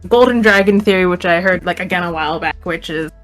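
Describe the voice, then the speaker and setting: A woman hosting a casual fan discussion, speaking in a hesitant, halting way. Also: fairly low pitch, soft background music. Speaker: podcast host.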